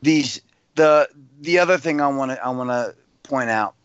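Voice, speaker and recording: A man's voice: mid-range voice, moderate pace, clean tone, no echo. A man co-hosting a podcast, giving blunt, opinionated takes with casual swearing. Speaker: podcast co-host. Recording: dull lo-fi podcast audio.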